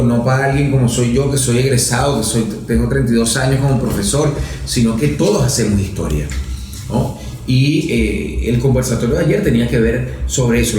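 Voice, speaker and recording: A man's voice: medium pitch, darkish tone. A Venezuelan man in his fifties, a professor and politician, explaining political history in a methodical lecturing way, checking in with "no?" as he goes. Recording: very echoey room.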